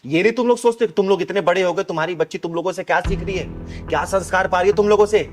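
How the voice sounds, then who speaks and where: A man's voice: sits high, racing, soft background music, close to an everyday voice. A man playing a stern, motherly kinnar elder sister who lectures and commands in a Hindi moral drama. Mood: angry scolding. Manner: preachy, commanding, rhetorical questions. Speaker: lead performer in moral drama sketch.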